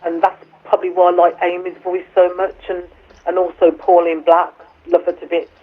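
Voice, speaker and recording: A woman singer with a deep voice, chatting on the phone in an interview, a bit hesitant and self-deprecating, with plenty of fillers. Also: completely flat delivery, normal speed. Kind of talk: casual chat over the phone. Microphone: telephone. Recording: tinny phone line.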